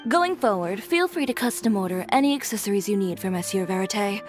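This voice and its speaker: A poised young fashion designer, voiced by a woman, speaks in an even mid-range voice. Her manner is composed, with a dry, teasing edge. Game music plays clearly underneath. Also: medium pitch, fairly quick, natural voice. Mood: cool. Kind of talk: game voice acting.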